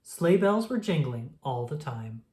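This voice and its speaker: A storyteller reading a children's picture book aloud in a slow, gentle manner. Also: medium pitch, big pitch swings.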